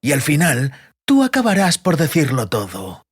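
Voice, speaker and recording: A man voicing a sadistic interrogator in an audiobook, coldly menacing and mocking, with a deliberate, sneering, threatening delivery. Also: fairly high pitch, huge pitch swings, breathy edge, heavily acted. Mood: mocking menace.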